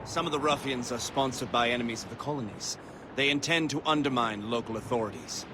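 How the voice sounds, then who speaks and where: A male actor plays a colonial officer in a game cutscene. He is middle-aged, composed and precise, with a formal turn of phrase. Clear outdoor ambience runs behind his mid-pitched voice. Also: fairly quick, noisy background, close to an everyday voice. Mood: measured, principled. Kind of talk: video game voice acting.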